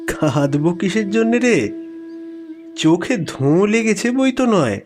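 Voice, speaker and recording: A man voicing a poor village mother in a storybook reading, tender and pious, with gentle country speech. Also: high voice, sing-song, takes it slowly, slight background noise, pitched above the performer's own voice, exaggerated voice. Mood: tearful, evasive. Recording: music behind the voice.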